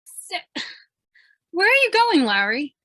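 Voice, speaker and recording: A woman's voice: very high voice, very animated, slow pace, pushed and bright, no echo, heavily acted. A woman playing a perky, sarcastic news photographer who trades wisecracks in an old time radio drama. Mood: skeptical, teasing.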